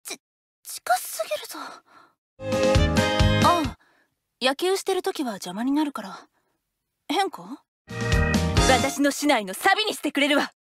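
A woman's voice: high voice, big pitch swings, takes it slowly, pauses often, full character voice. A woman voicing a stern, old-fashioned teenage girl swordswoman who plays baseball in a game. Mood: stern, flustered. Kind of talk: anime game voice lines. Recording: loud music under the voice.

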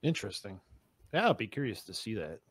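A man's voice: lowish voice, very animated, a little fast, fairly bright tone, breathy edge, dry recording. A man chatting casually as a guest on a video-call panel. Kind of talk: casual chat.

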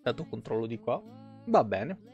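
A man's voice: mid-range voice, very animated, moderate pace, highs cut off. A man, reading game lore aloud and breaking off for informal asides as a live streamer. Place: indoor home streaming setup. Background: soft plucked guitar game music.

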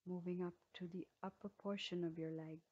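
Woman, guided meditation, slow soothing delivery, husky low voice. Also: steady tone, lo-fi sound. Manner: slow, soothing, gentle pauses. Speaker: yoga teacher.

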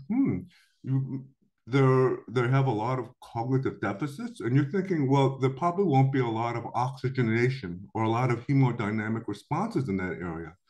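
A middle-aged man from Korea gives a recorded lecture into a webcam in an indoor room. His voice moves up and down a lot as he explains, and he slips into quick acted-out quotes. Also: mid-range voice, darkish tone.

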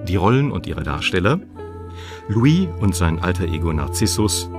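A man's voice: sits low, big pitch swings, normal speed. A man reading out radio play credits as a scripted announcement. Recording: music behind the voice.

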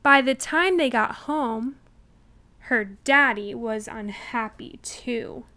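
A woman reading a children's picture book aloud, with deliberate and expressive enunciation. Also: on the high side. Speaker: storybook reader. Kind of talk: children's read-aloud narration.

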